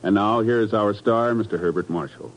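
A man's voice: fairly low pitch, normal speed. A man announcing formally as a 1950s network radio announcer. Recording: muffled vintage radio recording. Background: organ music.

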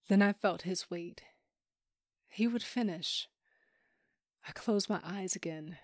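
Actress as low-voiced broken widow. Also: female voice, dry recording, clean studio sound. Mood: wounded, weary. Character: grieving, bitter, emotionally broken widow and monster hunter. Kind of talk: first-person audio drama narration with acted scenes.

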